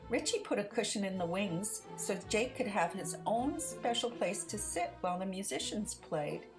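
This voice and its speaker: A woman narrating a children's story aloud in an expressive, theatrical storytelling manner. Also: mid-range voice, normal speed, over music.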